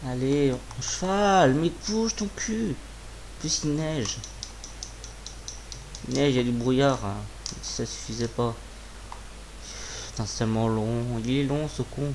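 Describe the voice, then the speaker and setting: A slightly hoarse man with a sore throat giving casual, informal gameplay commentary, muttering to himself and swearing. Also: mid-range voice, unhurried, pauses often, background noise. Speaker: gaming video creator.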